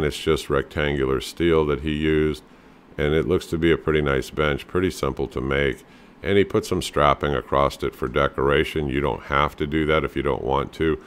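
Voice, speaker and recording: A middle-aged man hosting a home handyman show, casually explaining table leg designs over photos with a relaxed, plain-spoken manner and lots of "you know.". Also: a bass voice.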